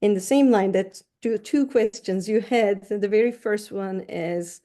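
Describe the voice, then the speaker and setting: A woman's voice: medium pitch, measured pace, mellow. A middle-aged woman from Turkey, a counseling professor answering interview questions in a thoughtful way, often restarting words.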